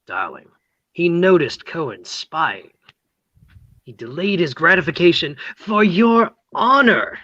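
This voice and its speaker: A woman acting a nosy, motherly confidante hungry for romance, husky and theatrical in a comic play read. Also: lowish voice, very animated, slow pace, sharp tone, dry recording, heavily acted. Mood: dramatic, conspiratorial. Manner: theatrical, breathlessly prying. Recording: dull lo-fi, close dry sound.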